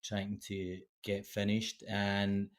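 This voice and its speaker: A Scottish man in his thirties, a guest speaking casually in an interview, halting with many fillers and restarts. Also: lowish voice, completely flat delivery. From Scotland. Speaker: guest, PhD candidate.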